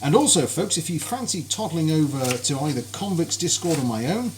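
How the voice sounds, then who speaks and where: A man's voice: on the high side, lively intonation, a little fast. A man chatting cheerfully and politely as he cooks on a live stream in his kitchen, greeting viewers by name. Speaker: cooking streamer. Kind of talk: live cooking stream chat. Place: home kitchen. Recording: kitchen noise with sizzling frying.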